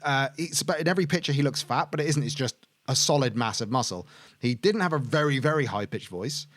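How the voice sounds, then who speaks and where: A man's voice: mid-range voice, lively intonation, brisk, fairly bright tone, dry recording. Man, rambling and self-correcting. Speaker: podcast co-host. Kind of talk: casual chat.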